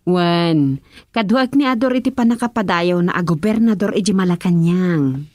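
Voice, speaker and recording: A woman's voice: lowish voice, normal speed, darkish tone, no room sound, studio quality, lightly acted. A woman playing a governor's gracious wife in a radio drama. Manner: gracious, commanding staff.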